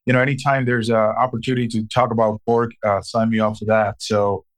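A middle-aged man from Puerto Rico, a marketing director answering interview questions with many pauses and restarts. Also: fairly low pitch, flat delivery. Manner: hesitant, many fillers and restarts.

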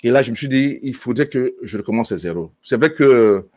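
A man speaking in a hesitant, searching way, full of fillers and restarts. His voice sits at an ordinary middle pitch. The recording is dry and close, with no room sound. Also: lively intonation, fairly quick, a little mellow, no echo. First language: French. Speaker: bass student. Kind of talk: testimonial interview.

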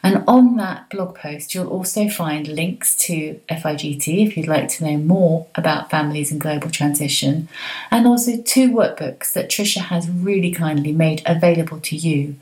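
A woman hosting a podcast, reading a clear, friendly intro and then chatting with her guest. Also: deep voice, moderate pace, darkish tone, poor audio. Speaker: podcast host and change coach. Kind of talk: scripted podcast intro, then interview chat.

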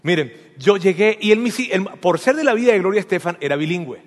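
A man's voice: high-pitched, expressive, fast talker, in a large hall. A man from Venezuela, preaching a sermon with humorous stories and asking his audience questions.

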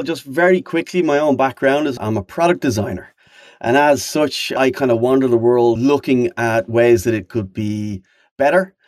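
A man's voice: mid-range voice, no echo, studio quality. A man being interviewed on a podcast as a company founder, speaking in a thoughtful, wordy way and repeating words for emphasis. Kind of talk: conversational interview answers.

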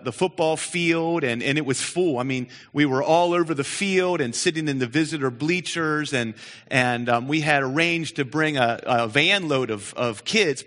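A man preaching a sermon, telling stories in an animated way, with frequent restarts and repeated words. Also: a little high. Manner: animated storytelling, many restarts. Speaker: pastor. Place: church sanctuary. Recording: church hall reverb.

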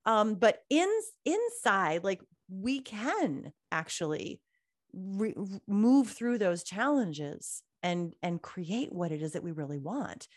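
A woman hosting a podcast interview, chatting casually with many fillers and drawn-out words. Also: mid-range voice, lively intonation, no room sound, studio quality. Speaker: podcast host.

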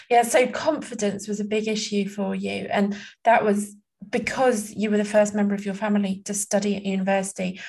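A woman's voice: medium pitch, gravelly, darkish tone. A woman hosting a podcast interview, encouraging and affirming, asking open questions. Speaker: podcast host.

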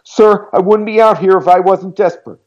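A man's voice: unhurried, warm tone, dull audio. A man voicing a frightened, pregnant teenage girl who begs to be let in out of a storm. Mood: pleading. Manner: pleading, shaky, high.

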